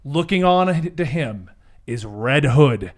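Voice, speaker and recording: A man narrating a tabletop superhero game as game master, telling it in an animated and often amused way. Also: medium pitch, slow.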